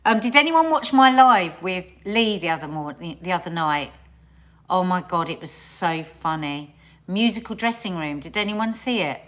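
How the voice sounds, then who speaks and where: A woman's voice: lowish voice, normal speed, fairly bright tone. A middle-aged woman hosting a casual livestream, chatting with viewers, often trailing off and restarting her sentences. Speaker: livestream host.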